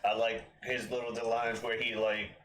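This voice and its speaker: A man casually recapping a film's plot with a friend, animated and quick to act out scenes. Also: medium pitch, slight background noise. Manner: animated retelling, acting out scenes. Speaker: podcast co-host. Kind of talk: casual movie discussion.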